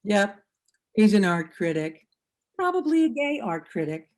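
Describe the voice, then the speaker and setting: A woman's voice: mid-range voice, big pitch swings, darkish tone. An actress playing an anxious, witty woman who overthinks every word with dry asides, in a stage comedy. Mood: wry. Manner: wry, overthinking, quick asides. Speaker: actor in a one-act play. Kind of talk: stage comedy inner monologue. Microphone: video call.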